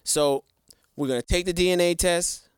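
A man co-hosting a podcast in casual chat, lively and speaking straight to viewers with slang. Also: high voice, normal speed, clear, resonant tone, dry recording, studio quality. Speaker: podcast co-host.

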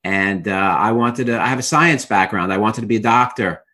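A middle-aged man with a Brooklyn accent tells his own story to an interviewer. He halts often, filling gaps with "uh" and restarting his sentences, in a relaxed, conversational way. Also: fairly low pitch, moderate pace. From Brooklyn, New York. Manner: hesitant, many fillers and restarts. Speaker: author and interview guest.

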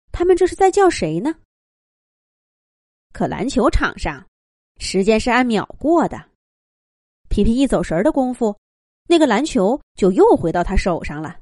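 Gentle, mellow woman telling a children's story. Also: on the high side, no echo, clean studio sound. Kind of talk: scripted children's story narration.

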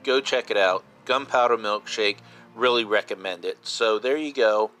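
Male podcast host, fifties: bright, punchy, informal fan chat, repeats points for emphasis, faint music bed. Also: on the low side, moderate pace. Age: 50s. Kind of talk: casual solo podcast talk.

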